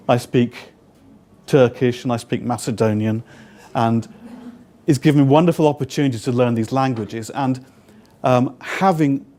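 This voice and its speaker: A man's voice: mid-range voice, darkish tone. A British man in his fifties, a guest speaker and interpreter, telling a personal story to a live audience with dry, wry humor. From United Kingdom. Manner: conversational, acts out quoted exchanges.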